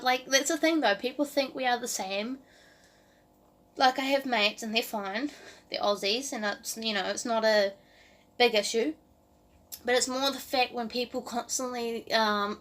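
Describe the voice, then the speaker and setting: A woman's voice: a little high, a bit bright. A woman in her early twenties with a New Zealand accent, talking casually to camera as a vlogger in a rambling way full of "like" and "um". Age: early 20s.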